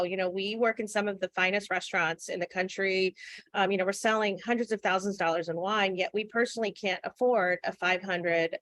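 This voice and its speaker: A woman's voice: mid-range voice, sharp tone. A woman in her forties giving an earnest, frank webinar talk, with many fillers. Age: 40s. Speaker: guest speaker. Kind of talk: webinar presentation.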